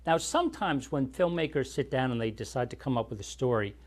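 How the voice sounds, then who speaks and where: A middle-pitched man hosts a classic movie program. He explains ideas at length and asks his guests follow-up questions in an easy, friendly manner. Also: animated, brisk. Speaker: host. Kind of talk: interviewing guests on a local cable show.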